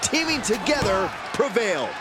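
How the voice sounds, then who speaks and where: A man playing an excited wrestling play-by-play announcer, projecting loudly and dramatically in video game commentary. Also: sits high, normal speed, over a noisy crowd. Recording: loud noise behind voice.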